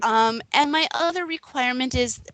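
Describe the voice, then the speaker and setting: A woman co-hosting a fan podcast, talking earnestly and haltingly with frequent ums. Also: high-pitched, fairly bright tone. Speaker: podcast co-host. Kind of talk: casual chat.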